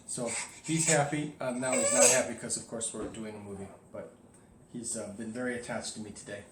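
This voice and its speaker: A man's voice: medium pitch, steady tone, fairly quick. A man, a priest, speaking casually and candidly to his parishioners in a home video, with emphatic rises. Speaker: Orthodox priest. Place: indoors at home. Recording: echoey room with faint noise.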